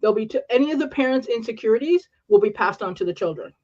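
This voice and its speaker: A woman's voice: a little high, lively intonation, quick pace, darkish tone. Earnest Canadian woman, lo-fi stream. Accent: upper-class Canadian. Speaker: panelist. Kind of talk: casual live-stream panel chat.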